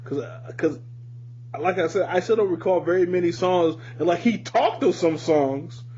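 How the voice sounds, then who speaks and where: A man co-hosting a casual music podcast, speaking in a halting, repetitive way with frequent swearing. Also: sits high, warm tone. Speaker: podcast co-host. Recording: muffled lo-fi podcast audio with hum.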